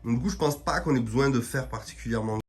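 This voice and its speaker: A man with an average-pitched voice streams live from a building job, talking to his viewers in loose, slangy, informal speech. He has a cold and is worn out. Faint music plays behind him. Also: fast. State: has a cold, tired, back pain. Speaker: livestreamer showing building work.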